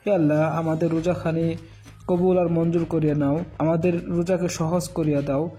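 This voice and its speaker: A man's voice: on the high side, little pitch movement, normal speed, dark tone, faint music behind, natural voice. A man playing a cheeky, lazy teenage son in a comic cartoon, wheedling with a Bangladeshi accent. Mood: mock solemn.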